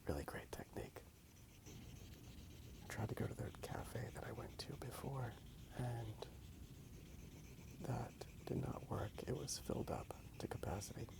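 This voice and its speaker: A man rambling softly in a breathy ASMR whisper, unhurried and halting as he comments on his drawing. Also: mid-range voice, rough recording. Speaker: host of a drawing ASMR channel.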